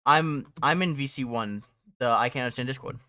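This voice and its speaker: Bright-voiced young man streaming casually. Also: mid-range voice, fairly quick, no echo, clean studio sound. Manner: rambling, laughs often, restarts phrases. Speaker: streamer and mod developer. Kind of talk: casual live-stream chat.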